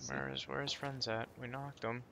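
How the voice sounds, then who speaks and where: A man's voice: mid-range voice, little pitch movement, moderate pace, sharp tone. A man playing an online shooter, calling out to his squad in terse, casual, profane bursts. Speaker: video game player. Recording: clear background noise, game sounds.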